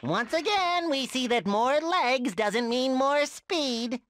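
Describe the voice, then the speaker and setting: A childlike, eager cartoon sea creature speaks in a high, squeaky, nasal voice. The delivery is earnest and excitable, with clear noise in the game audio. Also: male voice, slow, full character voice. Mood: playful. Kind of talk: cartoon video game acting.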